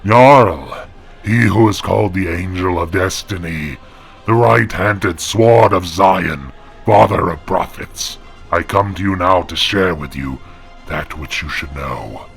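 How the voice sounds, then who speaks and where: A wrathful angel speaks in a very deep, inhuman metallic growl. The voice is gravelly and commanding, proclaiming prophecy in solemn, archaic words. It is a creepypasta-style radio drama with faint music underneath. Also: male voice, takes it slowly, heavily acted. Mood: grave, ominous. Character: wrathful, commanding male angel possessing a man's body.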